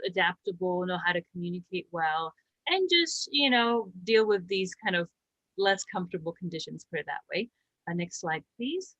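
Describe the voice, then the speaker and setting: A woman, presenting slides about her research in a brisk, explanatory way with a light joke here and there. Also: medium pitch, a bit bright, no room sound, dull audio. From Slovakia. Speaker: astrobiologist and habitat director.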